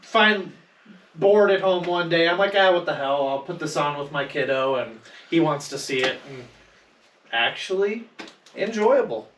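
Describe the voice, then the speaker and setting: A higher-pitched man talks bluntly and casually as a podcast co-host, swearing freely. He sits back from the mic in a roomy, echoey space with a little noise. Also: expressive, measured pace, fairly bright tone. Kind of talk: casual panel chat. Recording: roomy echo, distant mic, faint noise.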